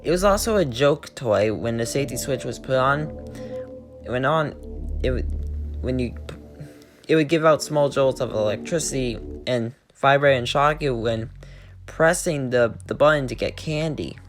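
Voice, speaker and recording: A man's voice: medium pitch, normal speed, soft background music. A man hosting his channel who reads a creepypasta aloud word for word, often stumbling and restarting his sentences. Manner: plain reading with frequent stumbles and restarts. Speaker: channel host and story reader.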